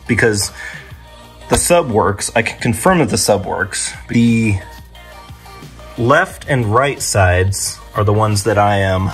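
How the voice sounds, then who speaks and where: A young man narrating a DIY car vlog casually and informally, thinking aloud with mild exasperation. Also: lowish voice. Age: young adult.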